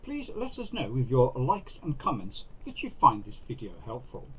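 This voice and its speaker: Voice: medium pitch, huge pitch swings, moderate pace, a bit bright, husky. A narrator reading a scripted language lesson, giving each prompt phrase on its own like an announcer. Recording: dull lo-fi recording.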